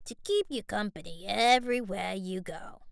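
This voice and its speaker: A woman voicing a gentle elderly grandfather who speaks softly and slowly in a storybook reading. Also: mid-range voice, creaky, clear, resonant tone, dry recording, clean studio sound, voice pushed down, natural voice. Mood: reassuring. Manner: soft, slow and reassuring.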